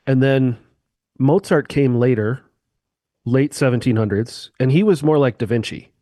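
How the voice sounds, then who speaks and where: A man's voice: mid-range voice, a little slow, warm tone, no room sound. A man, a musician guest on a chat podcast, explaining eagerly with sweeping comparisons into a close mic.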